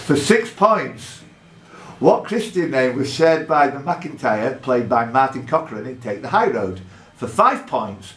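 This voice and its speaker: A man working as a quiz master, reading buzzer questions aloud in a deliberate way and announcing point values between clues. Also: medium pitch. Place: pub room with contestants. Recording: echoey room with faint background noise.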